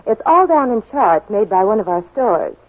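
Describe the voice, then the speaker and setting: A woman's voice: medium pitch, very animated, moderate pace. A woman giving chirpy, instructive household tips in a scripted 1940s radio advertisement. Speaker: sponsor's spokeswoman. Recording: muffled old 1940s radio broadcast.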